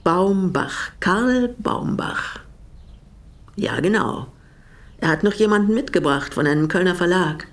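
An actress in a naturalistic radio drama playing an older East German widow who was once a prisoner, speaking deliberately and pointedly. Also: female voice, lowish voice, animated. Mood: friendly, brisk.

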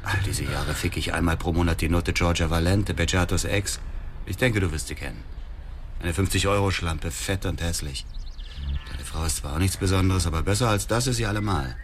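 Man acting a cruel male blackmailer, low voice, sneering, domineering, radio play, some background noise. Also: fairly quick, lightly acted. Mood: cold, domineering. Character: menacing, vengeful male blackmailer, coldly cruel. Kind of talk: naturalistic German radio drama acting.

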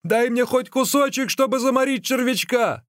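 A man's voice: medium pitch, completely flat delivery, moderate pace, clear, resonant tone, dry recording, studio quality, natural voice. A man voicing a hot-tempered, gullible warrior in a storybook, begging plaintively and exclaiming in desperation. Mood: pleading, starving. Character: hot-tempered gullible warrior, pleading desperately as his legs run away.

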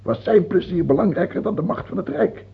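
An actor playing a sick, paranoid, self-pitying old king in a radio drama, with a husky, gravelly voice and a theatrical, halting delivery. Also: high voice, lively intonation, heavily acted. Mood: guilty, brooding. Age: older. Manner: theatrical, halting, swinging between whining and outbursts. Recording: dull, muffled old radio recording.

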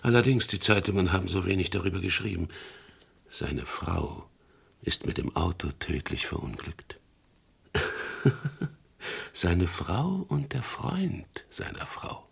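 An actor playing a flattering, gossipy old antique dealer with a husky, breathy, gravelly voice and a courtly manner, in a German radio crime drama. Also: male voice, deep voice, takes it slowly, pauses often, heavily acted. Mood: gossipy, insinuating. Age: older. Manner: courtly, insinuating, chuckling between phrases.

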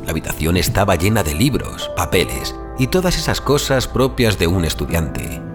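A man with a deep voice narrates literary fiction. His scripted reading is grave, measured and theatrical. A clear bed of music runs behind the narration. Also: very animated. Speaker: audiobook narrator.

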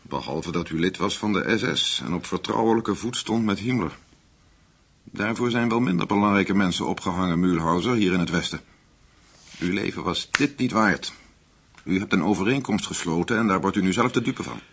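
An actor playing a composed, shrewd American CIA agent in a radio drama, measured, polite and probing. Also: male voice, low voice, a bit bright, breathy edge, full character voice. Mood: coolly probing. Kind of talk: Dutch radio drama acting.

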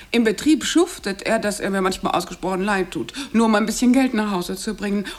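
A woman's voice: on the high side, lightly acted. An actress playing a smug, meddling wife and mother in a radio drama, gushing and judgmental as she boasts of a perfect marriage. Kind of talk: stage-like radio drama dialogue.

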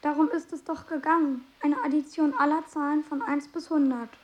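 A woman voicing an eight-year-old boy genius who answers in a calm, plain, matter-of-fact way in a radio play. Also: high voice, normal speed, close to an everyday voice.

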